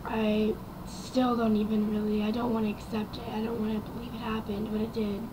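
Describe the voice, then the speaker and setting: A young woman with a raspy, quiet voice, telling her personal story to camera in a rambling way with many ums and likes. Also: a little high, little pitch movement, brisk, noisy background. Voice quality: raspy and quiet from vocal cord damage. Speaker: vlogger.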